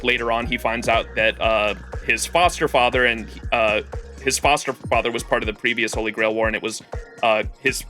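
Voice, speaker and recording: A man with a bright, forward voice talks through a live game stream. He explains things in a rambling, enthusiastic, casual way and swears now and then. Game music plays softly behind him. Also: mid-range voice.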